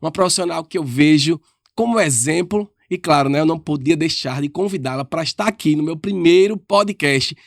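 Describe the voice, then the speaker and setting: A man hosts a podcast interview in a friendly, affectionate way, often ending his sentences with short check-in tags. His voice sits somewhat high for a man. The sound is dry and close, and there is no room echo. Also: a little fast, gravelly. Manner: friendly and affectionate, ends many lines with "tá?". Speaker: podcast host.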